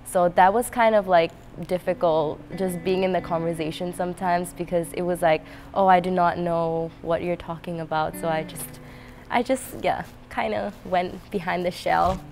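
A woman's voice: fairly low pitch, fairly even intonation, moderate pace, darkish tone, soft background music. A young woman from Nepal, a university student chatting in an indoor interview with many fillers. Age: young adult. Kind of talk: casual interview. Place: indoor lounge with fireplace.

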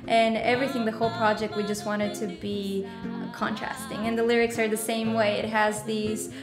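Young woman, fairly high voice, roomy studio. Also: flat delivery, moderate pace, music in the background. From Bulgaria. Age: 20s. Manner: chatty, upbeat, many "like" and "uh" fillers. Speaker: singer-songwriter guest. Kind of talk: casual interview. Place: small bright studio room. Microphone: studio condenser mic on stand.

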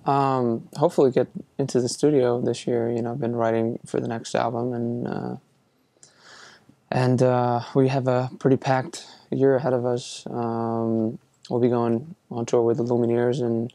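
A young man from Iceland answering interview questions casually, with a relaxed, hesitant manner full of fillers and restarts. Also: mid-range voice, moderate pace, dry recording. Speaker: musician being interviewed.

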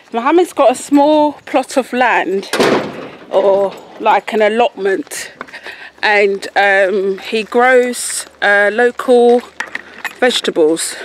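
A woman with a London accent chatting casually to a handheld camera as she vlogs. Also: medium pitch. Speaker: vlogger. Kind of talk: casual vlog chat. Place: outdoors on a village path and at a small shop. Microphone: handheld camera at arm's length. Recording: clear outdoor and room noise.

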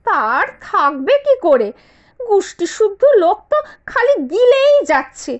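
A woman voicing a spoiled, sharp-tongued young daughter-in-law from a rich family in a cartoon, scornful, complaining and demanding. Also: extremely high pitch, very animated, unhurried, full character voice. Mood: indignant. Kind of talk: Bengali cartoon voice acting. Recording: dull lo-fi audio with music behind.

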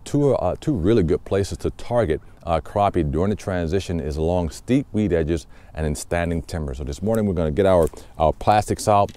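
A man hosting a fishing channel, explaining technique in a relaxed, casual way with many fillers while out on a boat on a lake. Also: low voice, fairly quick, few pauses. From Southwest Ohio.

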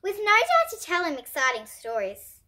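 A child reading a storybook aloud in an expressive, careful way, occasionally stumbling over words. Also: very high voice, moderate pace. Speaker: host of a children's book reading series.

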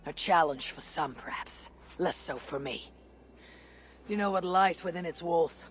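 An actress playing a confident young female Viking warrior in a game, husky, stoic and deliberate in her speech. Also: fairly low pitch, a little hiss or hum, lightly acted. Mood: confident, grim. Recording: faint game ambience behind.

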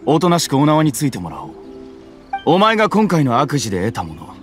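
A stern arresting officer. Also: male voice, fairly high pitch, wildly swooping pitch, fairly quick. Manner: stern, formal, commanding. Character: stern male government commission officer. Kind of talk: game cutscene voice acting. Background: soft game music.

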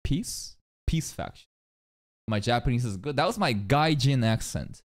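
A man's voice: medium pitch, measured pace. A young man streaming live reactions to an anime, talking back at the screen and swearing freely. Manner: animated, swears a lot, talks back at the screen. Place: small room indoors. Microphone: desk mic on arm.

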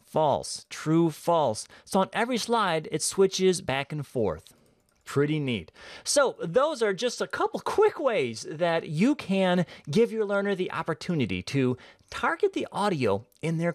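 A man with a fairly high voice and very animated intonation presents a software tutorial. He explains things step by step in a casual, conversational way. The recording is close and dry, like a voice-over. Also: no echo.

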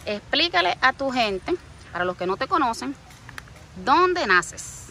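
A woman hosting a casual interview, lively, teasing, and speaking straight to the viewers. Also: high-pitched, a little slow, slight background noise. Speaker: interviewer, host.

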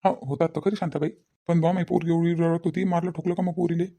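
Cartoon village dad, played by a woman: middle-aged, protective, worried, Varhadi Marathi accent, very fast, even-toned delivery. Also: on the low side, creaky. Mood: worried, questioning. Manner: insistent, repetitive questioning. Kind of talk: comedy cartoon dialogue.

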